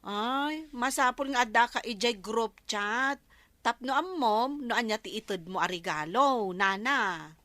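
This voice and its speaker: Woman acting a naive village wife, very high voice, Ilocano Filipino accent, plaintive, dry close sound, comedy drama. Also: animated, measured pace, bright, forceful tone, no room sound. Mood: chatty, insistent.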